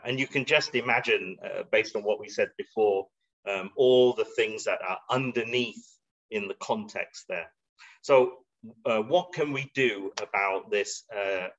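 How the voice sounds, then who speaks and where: A man's voice: fairly low pitch, fairly bright tone. A middle-aged man giving an informal explanatory webinar talk, conversational and a little hesitant as he thinks aloud. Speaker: webinar presenter. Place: indoor room. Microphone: video call. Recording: dull, band-limited video call audio.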